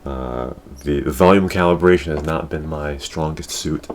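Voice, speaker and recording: A man's voice: very deep voice, moderate pace, darkish tone. A man hosting a casual gaming talk show, talking in a crude, slangy way and repeating his catchphrases. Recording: clear background noise.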